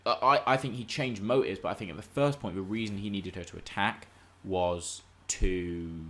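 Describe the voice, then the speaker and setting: A man's voice: fairly low pitch, moderate pace, fairly bright tone. A man co-hosting a podcast, discussing film and TV casually in an emphatic, analytical, argumentative way. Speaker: podcast co-host.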